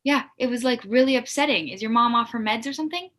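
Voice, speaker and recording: A woman's voice: on the high side, fast talker, a bit bright, natural voice. A woman playing an angry, blunt teenage ex-girlfriend in a comedy table read, accusing, with casual teen phrasing. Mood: pissed off.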